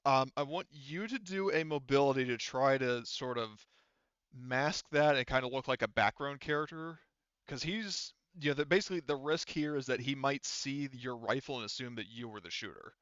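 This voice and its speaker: A man running a tabletop roleplaying game as game master, narrating casually and thinking aloud in a halting way. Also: mid-range voice, a bit bright, clean tone, no echo, lo-fi sound.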